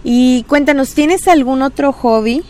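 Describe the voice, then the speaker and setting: Lady radio host, chatty and upbeat, kinda high voice, lots of fillers. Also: a little slow, a little mellow. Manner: chatty, encouraging, many filler words. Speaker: radio and social media host. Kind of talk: casual interview.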